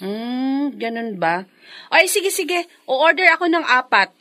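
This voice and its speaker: An actress playing an eager, chatty adult daughter in a Filipino radio drama, speaking emphatically and conversationally. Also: female voice, high voice, very animated, slow, sharp tone, exaggerated voice. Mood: curious, businesslike.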